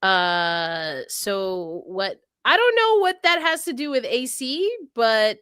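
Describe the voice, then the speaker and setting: A woman's voice: a little high, sing-song, slow, fairly bright tone, clean tone, no room sound, studio quality. A woman hosting a sports talk show, blunt and exasperated, rambling through her asides. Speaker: podcast host. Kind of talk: casual sports chat.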